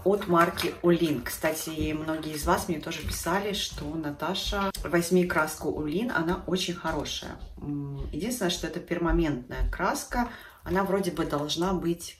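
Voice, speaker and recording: A woman's voice: on the low side, echoey room, far from the mic, a little hiss or hum. Chatty woman with a stuffy nose. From Russia. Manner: chatty, conversational, shows products on camera. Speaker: beauty vlogger. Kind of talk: casual chat to camera. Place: living room at home.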